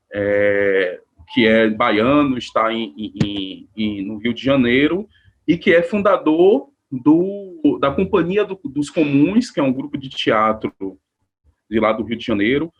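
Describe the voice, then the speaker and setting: A man's voice: medium pitch, breathy edge, dull audio. A man from Salvador, a researcher giving a conversational academic talk on a video call, with many fillers and restarts. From Salvador, Bahia, Brazil. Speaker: researcher and panelist.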